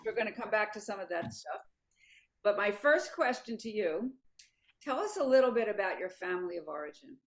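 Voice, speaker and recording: A woman's voice: medium pitch, expressive, a little fast. A woman hosts an interview and steers the conversation with direct, structured questions. She cuts in when needed and moves the talk on to the next point. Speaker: interview host.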